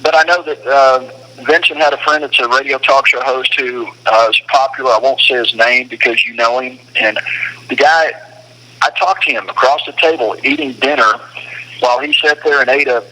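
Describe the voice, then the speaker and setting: Bright, forceful man on a phone call. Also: medium pitch, fairly even intonation, normal speed. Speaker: detainee speaking on a phone call from a detention facility. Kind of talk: casual opinionated conversation. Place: phone call from a detention facility.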